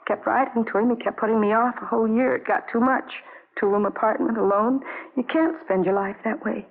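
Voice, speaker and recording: An actress playing an anguished, lonely young wife in a 1950s radio drama, speaking in halting, repetitive fragments on a vintage broadcast recording. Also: female voice, a little high, steady tone, narrow, tinny audio. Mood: weary, confessing.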